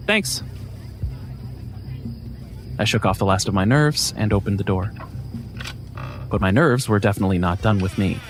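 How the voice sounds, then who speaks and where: Male narrator, low pitch, scripted first-person story, wry.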